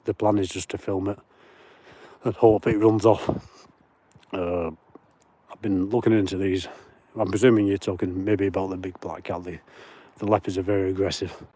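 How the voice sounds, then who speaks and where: A chatty man with a broad Lancashire accent talks into his phone while walking the moors at night. His voice sits low and mellow. He reads out viewer comments and greets people by name as he goes. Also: flat delivery, normal speed, long pauses. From Lancashire, England. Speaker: paranormal livestream host. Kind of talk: casual livestream chat while walking. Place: outdoors on moorland at night.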